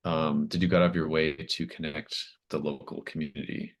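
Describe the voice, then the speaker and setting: A man with an extremely low voice acts as game master in a tabletop roleplaying session. He talks casually and hesitantly, searching for his phrasing and letting thoughts trail off. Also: level tone, brisk, highs cut off. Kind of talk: casual wrap-up talk with players.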